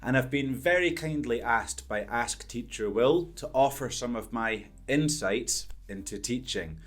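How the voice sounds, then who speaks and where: A man's voice: mid-range voice, lively intonation, measured pace. A Scottish man in his thirties, an English teacher, talking to camera at a studio mic in an upbeat, earnest, emphatic way. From Scotland. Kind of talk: direct-to-camera talk answering questions. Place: indoors before a green-screen backdrop. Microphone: studio condenser mic in front.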